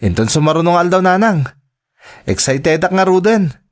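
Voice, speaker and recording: A man's voice: sits high, expressive, moderate pace. Man acting as an earnest, devoted son. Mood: affectionate, upbeat. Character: devoted, earnest adult son working abroad. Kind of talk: dramatic radio-style acting.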